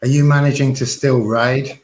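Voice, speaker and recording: A man's voice: medium pitch, flat delivery, moderate pace. Easygoing male interview host. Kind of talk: casual video call interview.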